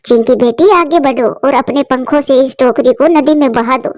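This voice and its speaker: A woman voicing a kind, motherly female crow neighbor in a cartoon story, speaking in a soothing, gentle way. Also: sits high, moderate pace, dry recording, studio quality, lightly acted.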